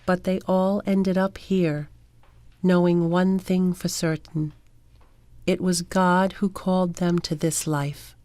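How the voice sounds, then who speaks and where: A woman narrating a documentary in a calm, measured, scripted way. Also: lowish voice, no echo.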